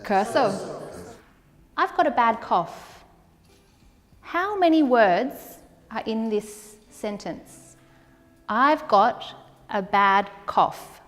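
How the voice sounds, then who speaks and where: A woman in her thirties teaching a language class, speaking slowly and repeating words so learners can model the sounds. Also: fairly high pitch, animated, a bit bright, big-room sound, soft background music. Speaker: ESL teacher. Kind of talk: classroom teaching, slow and clear. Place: large classroom with brick wall, indoors.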